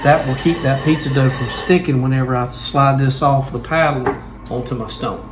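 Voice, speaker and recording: A man's voice: mid-range voice, fairly even intonation, normal speed, background noise. A man, hosting a home cooking show and walking viewers through making pizza in a folksy, instructive way.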